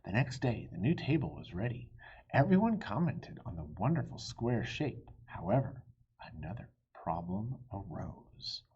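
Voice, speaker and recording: Male storybook reader and teacher: soft mellow tone, very breathy, animated delivery. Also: fairly low pitch, dull audio. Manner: animated, pauses to quiz listeners. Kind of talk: expressive read-aloud for children.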